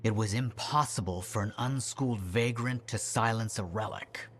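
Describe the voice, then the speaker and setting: An actor playing an earnest, bookish arcanist in a video game, speaking in a polite, well-spoken, reflective way. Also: male voice, on the low side, moderate pace, fairly bright tone, slight background noise, lightly acted. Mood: wry reminiscing. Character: earnest, bookish male arcanist, former street kid. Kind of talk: game dialogue acting.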